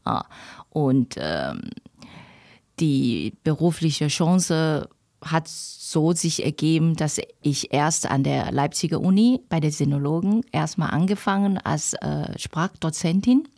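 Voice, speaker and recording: A woman's voice: sits low, lively intonation, slow pace, creaky, dark tone, no echo, studio quality. A middle-aged woman from Taiwan, a native Chinese speaker with a Chinese accent, answering interview questions in non-native grammar with hesitant, searching phrasing. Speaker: interviewee, language professor. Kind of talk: reflective interview answers in conversational speech.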